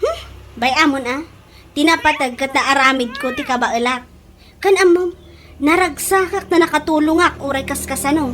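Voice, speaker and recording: A woman's voice: sits high, moderate pace, fairly bright tone, a little hiss or hum. A woman with an Ilocano Filipino accent playing a helpful, plain-spoken young caregiver trainee in a radio drama. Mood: earnest.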